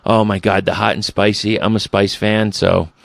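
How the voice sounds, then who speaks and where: A man's voice: on the low side, level tone, normal speed, creaky, no room sound, studio quality. A man hosting a casual podcast interview, talking in a loose, rambling way with the odd swear word. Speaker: podcast host.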